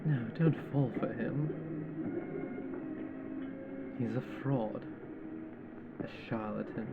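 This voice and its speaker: A man's voice: medium pitch, slow pace, phone-quality sound, heavy background noise. A male voice actor playing a haughty, sardonic, jealous captor in an ASMR roleplay, speaking with formal disdain. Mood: disdainful. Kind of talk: ASMR roleplay acting, commenting on a film.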